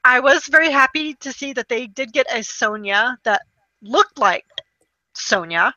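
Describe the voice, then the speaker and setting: A woman talks in a casual, expressive storytelling style, slipping into quoted reactions. Her voice sits on the high side and sounds clear-edged and bright. The recording is dry, with very little room sound. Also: measured pace, dry recording, lo-fi sound. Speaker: podcast co-host reviewing movies. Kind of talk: casual chat, animated anecdote-telling.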